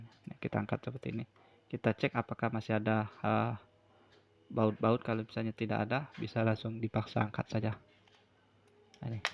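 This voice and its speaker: A man's voice: lowish voice, dull audio. A man gives an unscripted hands-on repair tutorial, explaining step by step and often repeating himself. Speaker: phone repair tutorial presenter.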